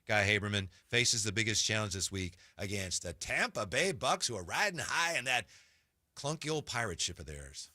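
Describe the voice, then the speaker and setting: A man's voice: lowish voice, lively intonation, normal speed, sharp tone, no room sound, clean studio sound. A man hosting a sports radio show with playful, jokey, theatrical banter.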